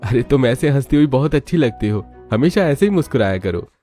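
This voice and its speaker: A man's voice: medium pitch, expressive, brisk, dark tone, faint music behind, close to an everyday voice. A man voicing a devoted, modest young husband in a cartoon, affectionate and sincere.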